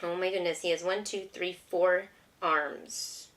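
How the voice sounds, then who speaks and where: A woman's voice: lowish voice, steady tone, a little slow, fairly bright tone, a little hiss or hum. A woman, a homeschooling mother, reading a picture book aloud to her young child with patient, encouraging, simple questions.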